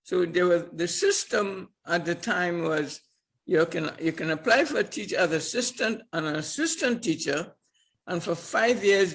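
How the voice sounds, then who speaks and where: An older man, a podcast guest telling his life story in an animated way, chuckling and repeating words as he goes. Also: high voice, creaky, breathy edge. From Trinidad and Tobago. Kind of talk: casual storytelling interview.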